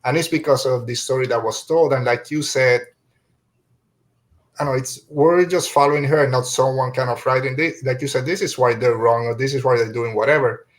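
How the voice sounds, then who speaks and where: A man with a mid-pitched voice hosts a casual review discussion. He rambles a little, often backing up to reword a thought halfway through a sentence. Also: fairly even intonation, a little fast. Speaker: podcast host.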